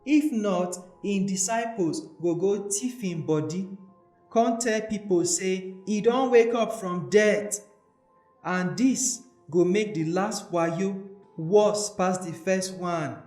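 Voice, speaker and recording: A man's voice: high-pitched, slow pace, darkish tone, voice pushed up. A Nigerian man voicing an agitated priest in a dramatized scripture story, urgent and insistent. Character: agitated male priests pleading urgently with a governor. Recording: echoey voice recording with music.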